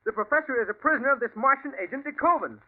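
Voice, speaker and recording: A man's voice: very high voice, expressive, racing. An actor playing the lead in a 1940s radio drama, an earnest man who narrates and pleads to be believed. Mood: frantic. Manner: earnest, pleading, rising to agitation. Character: young male hero, frantic, disbelieved. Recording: tinny old radio broadcast.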